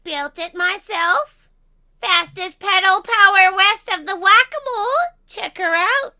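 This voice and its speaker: Cartoon kid racer: feisty, defiant girl, extremely high pitch, very sharp bright tone. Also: measured pace, full character voice. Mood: brash. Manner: sassy, defiant. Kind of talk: animated film table read.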